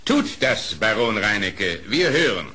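A stately animal king in a radio play. His voice is on the low side and bright, with a formal, regal delivery and plenty of melody. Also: very animated, measured pace, full character voice.

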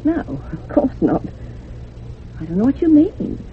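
An actress playing a deferential, evasive village housekeeper with plain rustic phrasing in a radio drama. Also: female voice, high voice, very animated, a little slow. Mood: guarded, evasive. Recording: noisy, phone-like old radio sound.

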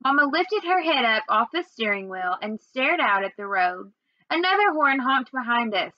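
Young female storyteller, high register, expressive read-aloud delivery, close dry room sound. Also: normal speed, dry recording, highs cut off. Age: young adult. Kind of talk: read-aloud of a children's novel. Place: small indoor room at home.

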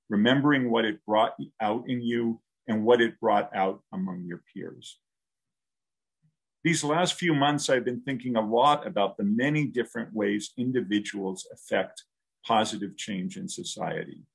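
A man in his sixties delivers a prepared ceremonial address in a formal, measured way. His voice is fairly low and gravelly, with a husky edge, as he speaks into a computer microphone on a video call. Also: poor audio. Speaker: university dean. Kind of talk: scripted commencement speech.

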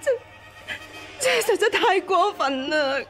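An actress with a Hong Kong Cantonese accent playing an aggrieved, high-strung young widow in a radio drama, plaintive and tearful. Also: female voice, sing-song, a little slow, faint music behind, exaggerated voice. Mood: bitter, indignant.

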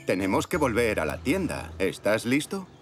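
A man's voice: lowish voice, huge pitch swings, moderate pace, soft background music. A man with a Castilian Spanish accent voicing a friendly, easygoing zebra father in a children's cartoon. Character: friendly, easygoing zebra dad, working shopkeeper. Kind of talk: children's animated series dub.

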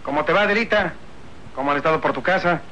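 Proud demanding cowboy hero, highish forceful voice, Mexican accent, old radio drama. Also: male voice, fast talker. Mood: determined. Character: bold, insistent, hot-tempered young rancher hero. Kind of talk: old radio serial acting. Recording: faintly noisy old radio recording.

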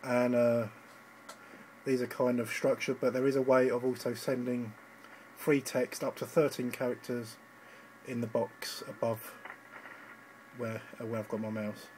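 Flat-voiced man on a noisy phone recording. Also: medium pitch, measured pace. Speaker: amateur radio operator demonstrating. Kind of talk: informal live screen demonstration. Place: indoors at a radio station desk. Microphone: handheld phone.

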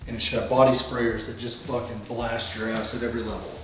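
A man's voice: mid-range voice, little pitch movement, fast talker, fairly bright tone, heavy reverb, faint music behind, low-quality recording. A man, giving blunt, crude commentary while walking through an unfinished house. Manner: crude joking, blunt opinions. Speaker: real estate vlogger. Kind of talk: casual walkthrough commentary. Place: unfinished house under construction, indoors and outdoors.

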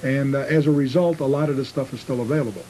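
A man's voice: mid-range voice, fast talker, warm tone, a little hiss or hum, low-quality recording. A man speaking as an interview guest, casually explaining his restoration craft on an old cable television tape. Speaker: guest, model train restorer.

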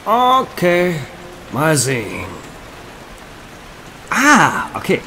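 An actor playing a nonchalant, hesitant story author in a comic radio play. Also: male voice, a little high, wildly swooping pitch, very slow, sharp tone, exaggerated voice. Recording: faint rain noise behind voice.

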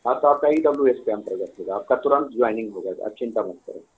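A man's voice: medium pitch, fast talker, breathy edge. A man answering a phone call as an official, in brief, matter-of-fact replies.

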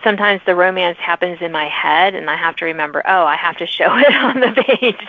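A woman's voice: on the low side, brisk, dry recording. Woman hosting, slightly dull recorded sound. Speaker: podcast host. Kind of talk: casual interview chat.

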